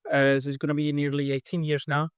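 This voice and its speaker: A man from southern Spain with a Spanish accent, answering interview questions in a measured, thoughtful way with many hesitations. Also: on the high side, monotone, clear, resonant tone, no room sound, clean studio sound. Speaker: aerodynamics engineer, guest.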